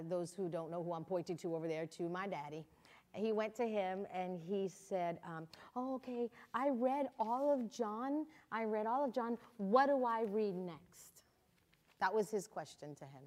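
A woman leads a relaxed church talk with a guest. She speaks encouragingly and laughs easily between her points. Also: medium pitch. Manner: warm, laughs often, encouraging. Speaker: church host. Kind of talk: casual church testimony chat.

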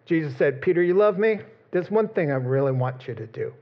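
A pastor delivers his sermon in a loose, chatty way, joking with the congregation and slipping into little acted-out voices for the people he quotes. His voice sits a touch high for a man. The recording sounds muffled and lo-fi. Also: lively intonation, fairly quick.

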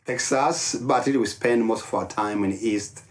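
A middle-aged man with a Rwandan accent, telling his personal story as an interview guest in deliberate, halting short phrases. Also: mid-range voice. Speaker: interview guest, ministry leader.

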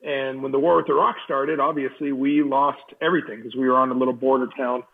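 A man from Southern California, a team chaplain appearing as a guest, telling his story in a relaxed, friendly way. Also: medium pitch, fast, gravelly, slightly rough. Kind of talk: casual interview storytelling. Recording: remote call with tinny phone-like sound.

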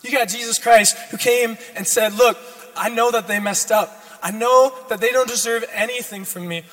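A hoarse young man preaching a sermon as a guest speaker, repeating his key lines and building in intensity. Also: very high-pitched, steady tone, normal speed, fairly bright tone. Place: church sanctuary. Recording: long church reverb.

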